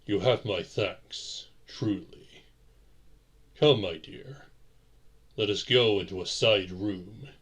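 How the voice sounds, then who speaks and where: Man acting a slow, low, courtly vampire boyfriend. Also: fairly bright tone, breathy edge, exaggerated voice. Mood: solemn, courteous. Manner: formal old-fashioned phrasing, measured delivery. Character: courteous male vampire boyfriend, soothing and devoted. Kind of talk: romantic audio roleplay acting.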